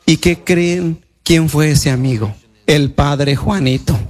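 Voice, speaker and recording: High-pitched priest giving testimony. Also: male voice, slow, a little mellow, faint music behind. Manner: colloquial and devout, repeats phrases for emphasis. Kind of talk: personal testimony preached to a congregation.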